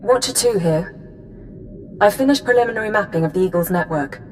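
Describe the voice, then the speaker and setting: A woman playing a brisk female intelligence handler in a video game, giving crisp, businesslike briefings. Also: medium pitch, lively intonation, a little hiss or hum, close to an everyday voice.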